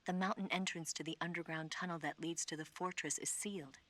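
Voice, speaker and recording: A woman's voice: fairly low pitch, steady tone, brisk, fairly bright tone. An actress playing a cool, confident female spy giving a briefing in a video game, assured and matter-of-fact. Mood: cool, urgent. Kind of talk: video game radio-call dialogue acting.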